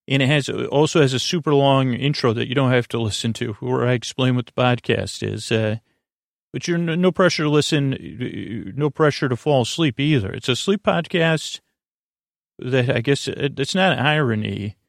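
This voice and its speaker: A man hosting a bedtime podcast with a creaky, soothing voice, meandering and halting as he wanders off on tangents. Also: medium pitch, lively intonation, no echo, studio quality. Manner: soothing, lulling. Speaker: podcast host. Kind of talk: rambling bedtime podcast monologue.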